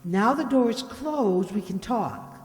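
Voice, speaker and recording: Woman playing an eager, high-voiced young girl. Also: lively intonation, takes it slowly, darkish tone, big-room sound, faint music behind. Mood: eager, confiding. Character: eager, affectionate young girl in a soap commercial. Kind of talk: 1940s radio acting.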